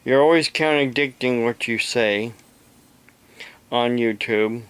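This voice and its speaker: A man talking unscripted to camera in a halting, deliberate, aggrieved way, like a homemade video monologue. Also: medium pitch, a bit bright, clean tone. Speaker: amateur radio operator, video blogger.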